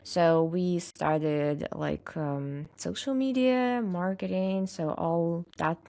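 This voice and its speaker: A woman's voice: lowish voice, slow pace, creaky, dark tone. A young adult woman from Russia with a Russian accent, chatting casually as a podcast guest, hesitant with lots of fillers and frequent laughs. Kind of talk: casual interview chat.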